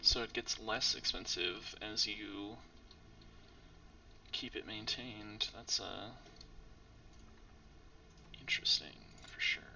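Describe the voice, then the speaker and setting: A man who is losing his voice from illness, giving casual let's-play commentary in a hoarse, mumbling, often half-whispered way. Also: fairly low pitch, fairly even intonation, slow pace, long pauses, pushed and bright, recorded from a distance, muffled recording, slight background noise. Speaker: streamer playing a game.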